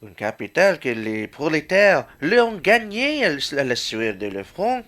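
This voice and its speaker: A man's voice: fairly high pitch, sing-song, moderate pace, fairly bright tone. Guy doing a pompous republican radical, radio play, very theatrical, voice goes all over the place. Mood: indignant. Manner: declamatory, theatrical. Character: pompous grandiloquent republican democrat man, self-styled philosopher. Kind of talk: student radio play acting.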